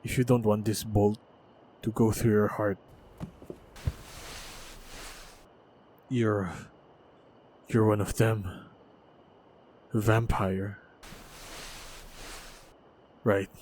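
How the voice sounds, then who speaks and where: A man playing a wounded, stoic monster hunter in a fantasy audio roleplay, speaking in a measured, halting, guarded way. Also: fairly low pitch, flat delivery, darkish tone, a little hiss or hum. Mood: wary. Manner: measured, halting, guarded delivery with heavy sighs. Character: wounded, battle-hardened lone male monster hunter, wary, stoic. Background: faint ambient sound effects.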